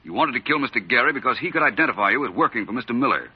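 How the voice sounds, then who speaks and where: A man playing a shrewd, unruffled gentleman detective in a 1940s radio drama, speaking in a measured, polite, coolly accusing way. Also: medium pitch, animated, breathy edge. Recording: muffled old-time radio recording.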